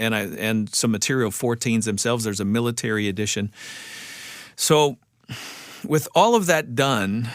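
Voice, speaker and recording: A man hosting an interview, asking questions in a quick, conversational way. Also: medium pitch, fairly bright tone, smooth, no room sound, clean studio sound. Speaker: podcast host.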